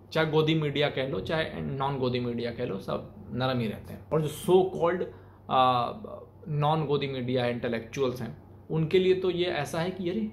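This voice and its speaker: Young Indian man, clearly noisy recording. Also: fairly high pitch. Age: young adult. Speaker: video commentator, channel host. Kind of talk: unscripted opinion commentary to camera. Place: small plain room indoors.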